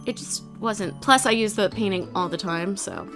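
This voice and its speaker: A young woman speaks with a stuffy, nasal sound brought on by allergies. Her talk is loose and rambling, full of little fillers. Clear music plays underneath her voice. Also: mid-range voice, normal speed. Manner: chatty and rambling, frequent "like". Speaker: video creator. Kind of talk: casual commentary over a building video. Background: background music.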